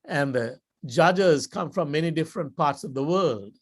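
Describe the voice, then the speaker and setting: An older man from Sri Lanka with a Sri Lankan accent, giving a motivational club speech in a measured, deliberate storytelling style with dramatic pauses. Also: fairly high pitch, lively intonation. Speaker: guest speaker.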